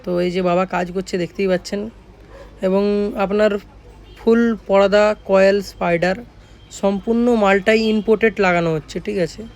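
A man's voice: sits high, fairly even intonation, fairly quick. A man with a West Bengal accent giving casual vlog commentary as a workshop owner, repeating his points and often checking for agreement. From Jhargram, West Bengal, India. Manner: repeats points, often adds tag phrases seeking agreement. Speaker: speaker workshop owner presenting a video.

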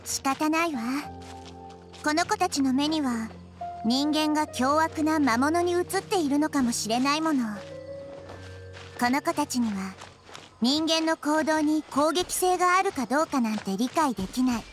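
A woman voicing a wise, child-sized goddess in a Japanese game dub, speaking softly and thoughtfully in measured, polite phrases. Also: sits high, faint music behind. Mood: calm, explaining. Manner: soft, measured, reflective, polite feminine phrasing.

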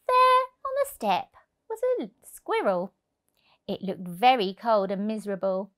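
A woman in her thirties narrating a picture book for young children, expressively and deliberately. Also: mid-range voice, huge pitch swings, bright, forceful tone. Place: indoor room, on a sofa. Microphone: lapel mic.

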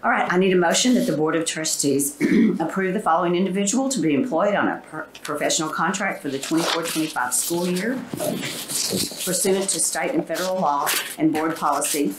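A woman chairing a school board meeting, reading motions and procedure in a brisk, formal way. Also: low-pitched, very noisy. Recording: echoey meeting room.

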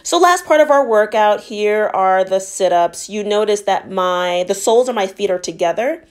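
A woman's voice: medium pitch, animated, normal speed. A woman narrating a workout as a fitness instructor, explaining each move in an encouraging, instructional way.

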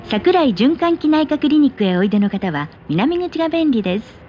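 抑揚豊かな高い声の女性アナウンス. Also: female voice, sits high, sing-song, moderate pace, dark tone. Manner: polite, clearly articulated. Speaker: subway advertisement announcer. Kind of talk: scripted transit announcements and sponsor notices. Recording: in-car speaker recording with train noise. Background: running subway train noise.

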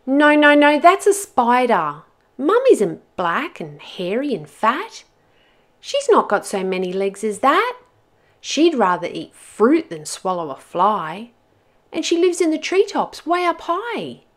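A woman voicing a lost little monkey child in a storybook, pleading and exasperated, with emphatic, drawn-out words. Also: high-pitched, sing-song, voice pushed up, exaggerated voice. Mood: indignant.

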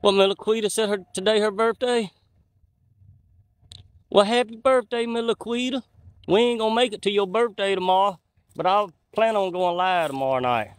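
A man in his forties talks to his viewers as he walks through the woods at night, holding his phone on a gimbal. His voice is smooth and clear and sits at an ordinary pitch, with dry, close sound and no room echo. He rambles in a folksy way, reading out chat names. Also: moderate pace. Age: 40s. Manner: chatty, folksy, reads out viewer comments. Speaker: outdoors livestreamer. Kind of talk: casual live-stream chat with viewers. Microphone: phone on a handheld gimbal.

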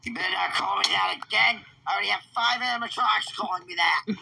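Bright, creaky man playing a menacing villain. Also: high voice, fast talker. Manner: menacing, taunting, swearing. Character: menacing male animatronic villain, taunting and short-tempered. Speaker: player in a Minecraft roleplay. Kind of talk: in-character gaming roleplay with friends.

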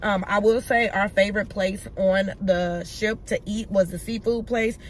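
A woman's voice: mid-range voice, fairly even intonation, unhurried, low-quality recording. Young woman, lifestyle vlogger, easy talkative delivery, slight background hum. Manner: chatty, addresses viewers as y'all. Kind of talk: casual chat to camera. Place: home, then shopping in a store.

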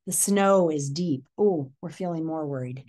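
A woman's voice: low-pitched, slight background noise. Middle-aged American woman reading aloud expressively. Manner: expressive, questioning, pausing to address young listeners. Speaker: read-aloud presenter. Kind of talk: picture book read-aloud with teacher-like asides and questions. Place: living room, indoors.

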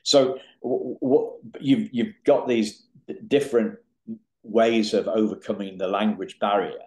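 Male podcast host; low voice, halting, lots of restarts; roomy echo on the recording. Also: fairly even intonation, darkish tone. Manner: halting, many repeated words and restarts. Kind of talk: interview questions. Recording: echoey room.

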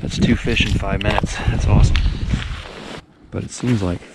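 A man in his forties giving casual running commentary while ice fishing outdoors on a frozen pond, his voice jumping up with excitement when fish bite, recorded on a body-worn action camera. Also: on the low side, moderate pace. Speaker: fishing vlogger. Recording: loud wind noise on the mic.

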